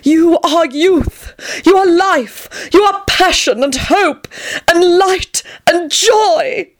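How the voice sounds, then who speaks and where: A woman voicing a flamboyant, melodramatic man who gushes endearments and gossip in a shrill, theatrical storybook manner. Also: expressive, a little slow. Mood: swooning delight. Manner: effusive, melodramatic, swooning endearments.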